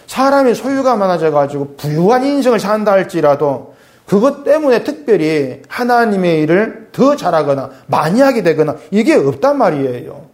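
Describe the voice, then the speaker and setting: A middle-aged male pastor preaching conversationally, asking his congregation questions and dropping low and soft when he reads scripture. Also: sing-song, normal speed. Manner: swings between raised emphatic lines and quiet low asides; reads scripture aloud in a lower, softer voice. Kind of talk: sermon.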